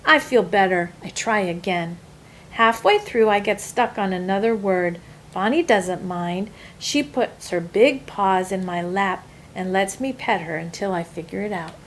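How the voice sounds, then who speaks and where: Female picture-book reader, mid-range voice, expressive and deliberate delivery, slightly noisy recording. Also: mid-range voice. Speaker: storyteller reading a picture book aloud. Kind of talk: expressive children's story reading.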